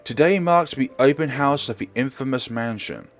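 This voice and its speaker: A man's voice: mid-range voice, moderate pace, soft background music. A man reading a visual novel aloud as a let's player, narrating expressively and sometimes stumbling and correcting himself.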